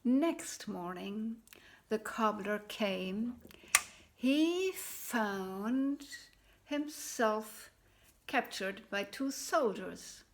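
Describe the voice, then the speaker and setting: A woman telling a folk tale aloud, in a gentle, unhurried manner with small pauses. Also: on the high side, animated, slight background noise. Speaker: storyteller. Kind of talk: oral folk tale storytelling.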